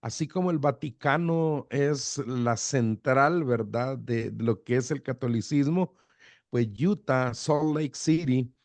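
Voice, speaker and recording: A middle-aged man from El Salvador whose Spanish carries a mixed Salvadoran and Guatemalan border accent. His voice sits at an ordinary middle pitch and sounds dry and close. He talks in an easygoing way, with many pauses and repeated words. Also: no room sound. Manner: relaxed, hesitant with fillers and repeated words. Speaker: pastor, guest. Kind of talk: radio interview, conversational.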